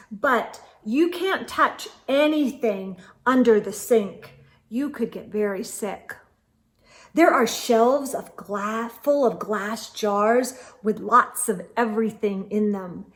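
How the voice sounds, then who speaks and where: Storyteller, young woman: slow, animated picture-book reading, slightly high voice, gentle asides for small children. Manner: gentle, expressive read-aloud with soft asides.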